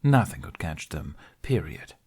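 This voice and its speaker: A man's voice: a bass voice, sing-song, a little slow, creaky, a little mellow, slightly rough. A man reading scripted story narration as an audiobook narrator.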